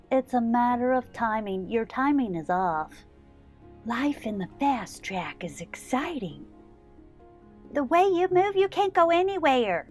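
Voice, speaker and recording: A woman's voice: a little high, a little mellow. A woman puts on a bossy storybook animal who scolds and disapproves. The words come out emphatic and chiding, with music playing faintly behind. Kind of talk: children's storybook character voice.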